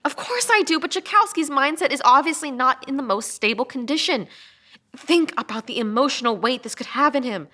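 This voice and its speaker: A woman's voice: sits high, lively intonation, brisk, pushed and bright, exaggerated voice. A woman playing a hot-headed, sarcastic cop, incredulous and argumentative, in a dramatic read. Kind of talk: dramatic table read acting.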